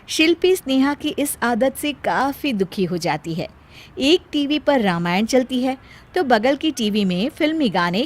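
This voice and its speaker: A woman narrates a scripted moral story. Her voice is high and swings widely in pitch, giving the storytelling a very animated shape.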